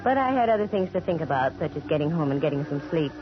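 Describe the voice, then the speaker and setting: An American actress playing a wry, sharp-tongued female private investigator in 1940s radio style, delivering dry wisecracks and narration. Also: deep voice, expressive. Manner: dry, sardonic, quick with wisecracks. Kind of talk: 1940s radio acting with first-person narration. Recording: muffled vintage radio broadcast with music.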